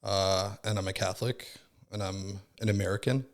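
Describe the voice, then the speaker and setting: A man's voice: lowish voice, fairly even intonation, normal speed. A man in his thirties from Southern California, a podcast guest telling his story in a rambling, casual way full of fillers. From Southern California, born in Finland. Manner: rambling, many fillers like "like" and "right?". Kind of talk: casual reflective chat.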